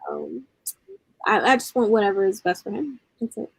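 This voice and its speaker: A woman's voice: mid-range voice, normal speed, creaky, breathy edge, no echo, low-quality recording. A woman co-hosting a casual wrestling review stream, giving brief, agreeable replies. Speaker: co-host.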